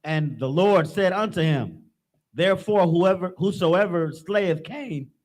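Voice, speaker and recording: Guy with a higher voice reading bible verses aloud, steady. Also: unhurried. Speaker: scripture reader.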